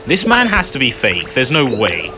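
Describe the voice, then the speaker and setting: A woman's voice: rumbling low, huge pitch swings, moderate pace. A woman giving casual, sceptical playthrough commentary as a gaming video host, with muttered asides. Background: game music.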